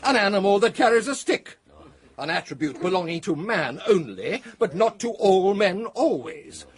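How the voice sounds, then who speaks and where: A man's voice: high-pitched, very animated, normal speed. An actor playing a pompous male phrenologist delivering a lecture in a radio drama, with a theatrical delivery. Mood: lecturing. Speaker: lecturer at a dinner party. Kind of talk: 1980s radio drama acting.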